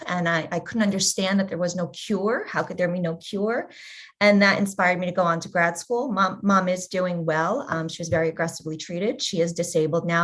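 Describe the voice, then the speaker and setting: A woman in her forties, a patient advocate, telling her personal story in an earnest, measured way on a panel discussion. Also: lowish voice, a bit bright.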